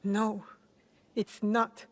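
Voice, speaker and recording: A young woman shinobi in a dramatic video game. She sounds earnest and dutiful, with a medium-pitched voice and a reserved daughterly manner. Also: flat delivery, very slow. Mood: defiant.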